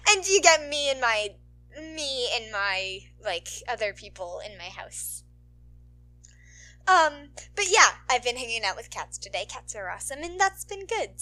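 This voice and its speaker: A woman's voice: sits high, bright, forceful tone, poor audio. A woman podfic narrator who talks in an informal, playful way. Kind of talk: animated story narration and casual outro chat.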